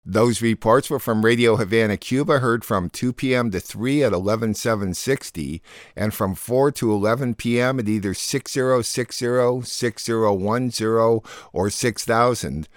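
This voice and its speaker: A man's voice: medium pitch, moderate pace, no room sound. A man hosting a radio show, giving plain, informative station announcements at a close studio mic.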